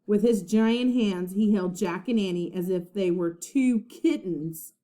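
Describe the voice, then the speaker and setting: A woman reading a children's storybook aloud like a teacher, with expressive, dramatic narration. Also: mid-range voice, unhurried.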